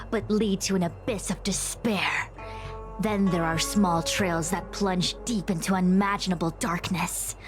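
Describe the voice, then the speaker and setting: A woman voicing a solemn, fatalistic master diviner in a game, speaking in a measured, lecturing way. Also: fairly low pitch. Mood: stern, burdened. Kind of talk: scripted game voice acting. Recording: background music under the voice.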